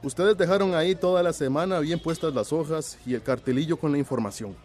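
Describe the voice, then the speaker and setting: An actor playing a modest, generous village shopkeeper in a radio drama. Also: male voice, fairly high pitch, brisk, a little mellow, close to an everyday voice. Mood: approving.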